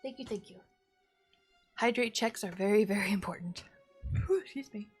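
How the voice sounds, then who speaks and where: A woman's voice: medium pitch, normal speed, a little mellow, husky. Woman, live streamer, casual chat, bubbly and exclamatory, music playing behind. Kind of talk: casual livestream chat with viewers. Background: background music.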